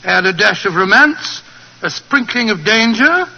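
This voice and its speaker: A man playing a genial older English gentleman telling stories in an avuncular, old-fashioned British manner in a 1940s radio drama. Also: high voice, animated, unhurried, creaky, highs cut off, full character voice.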